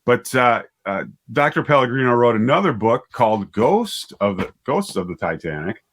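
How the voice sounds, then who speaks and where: A man in his fifties telling stories as an interview guest, conversational and halting, often repeating words and correcting himself. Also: mid-range voice, big pitch swings, a bit bright. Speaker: writer and director, interview guest.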